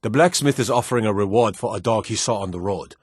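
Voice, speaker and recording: An actor playing a stern, dutiful fantasy guard and soldier in a video game, formal and commanding, with measured delivery. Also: male voice, lowish voice, slightly rough, no room sound, studio quality. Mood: stern, matter-of-fact. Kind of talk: scripted fantasy game dialogue.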